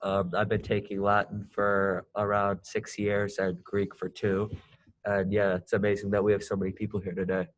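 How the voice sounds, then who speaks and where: Flat-voiced teenage boy. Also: fairly low pitch, moderate pace. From United States. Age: teens. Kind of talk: casual video call meeting. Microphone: video call.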